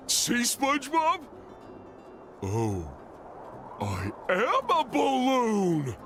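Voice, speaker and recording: Goofy cartoon starfish, slow, dopey, big pitch swings, good-natured. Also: male voice, fairly bright tone, exaggerated voice. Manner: slow-witted, dopey, dramatic. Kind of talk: cartoon video game acting.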